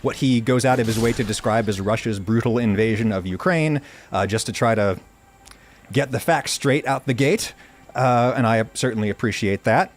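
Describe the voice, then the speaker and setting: A man's voice: mid-range voice, normal speed. An American man hosting a podcast interview, thinking aloud with a lot of ums and uhs. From United States. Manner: rambling, thinking aloud with many fillers. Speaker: podcast host.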